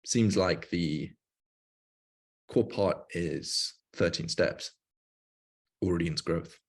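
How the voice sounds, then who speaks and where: Low-pitched man in his twenties, casual livestreamer. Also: extremely slow, husky. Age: 20s. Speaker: livestream host, online business coach. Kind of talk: casual livestream talk and coaching.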